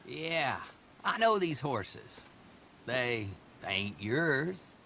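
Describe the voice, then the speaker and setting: An actor playing a chatty, folksy, teasing rural horse dealer with an American Southern accent. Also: male voice, medium pitch, sing-song, extremely slow, creaky, sharp tone, slight background noise, heavily acted. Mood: sly haggling. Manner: garrulous, folksy, sly haggling.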